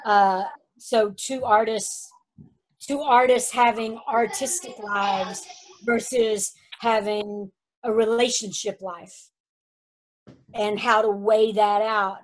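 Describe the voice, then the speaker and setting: A woman's voice: medium pitch, fairly even intonation, slow, rough recording. A woman talking through ideas casually in a group discussion on a video call. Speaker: table read participant.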